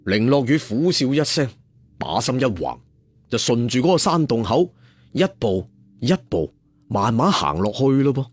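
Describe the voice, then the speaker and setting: Male storyteller for serialized fiction: slightly rough voice, animated delivery, colloquial and dramatic. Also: mid-range voice, normal speed, no echo. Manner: colloquial, addresses listeners with rhetorical questions. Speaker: audiobook storyteller. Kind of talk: dramatic traditional storytelling narration.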